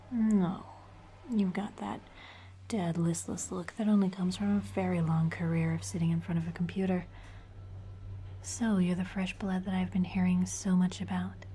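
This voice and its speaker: A woman's voice: fairly low pitch, a little hiss or hum, natural voice. A woman playing a whispering vampire boss. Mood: teasing. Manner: soft whispering, teasing with veiled threats. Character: poised female vampire office executive, teasing and menacing. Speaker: ASMR performer. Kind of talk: ASMR roleplay.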